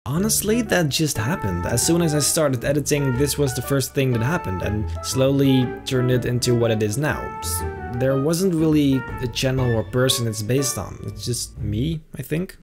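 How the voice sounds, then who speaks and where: A man's voice: mid-range voice, normal speed, hardly any pauses. Young man chatting casually over music. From Netherlands. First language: Dutch. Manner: relaxed, hesitant, with frequent fillers and trailing asides. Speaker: YouTuber answering viewer questions. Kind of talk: casual Q&A chat. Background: background music.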